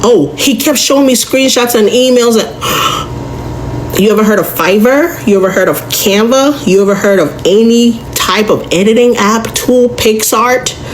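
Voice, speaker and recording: A woman's voice: on the high side, a little hiss or hum, poor audio. A woman giving sarcastic commentary, firing rhetorical questions at a scam victim. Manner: sarcastic, rapid-fire questioning. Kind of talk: reaction commentary video.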